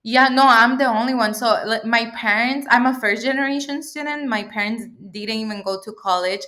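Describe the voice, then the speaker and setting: A woman's voice: fairly high pitch, moderate pace, sharp tone. A woman in her twenties from El Salvador, a PhD student chatting as an interview guest, talking freely with many fillers and frequent laughs. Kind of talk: casual interview chat.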